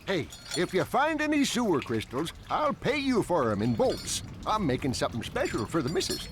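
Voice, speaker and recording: Casting: cheerful grubby game plumber, highish voice, wildly bouncy intonation, laid-back, noisy setting. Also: male voice, wildly swooping pitch, moderate pace. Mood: cheerful, folksy. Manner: folksy, easygoing, eager. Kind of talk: cartoonish video game acting. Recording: noisy ambience behind.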